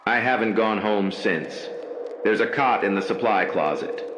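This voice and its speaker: A man playing a haunted night-shift hospital worker, narrating a scripted horror story in slow, measured, ominous phrases. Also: on the low side, roomy sound, noisy background, lightly acted. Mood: grave, uneasy.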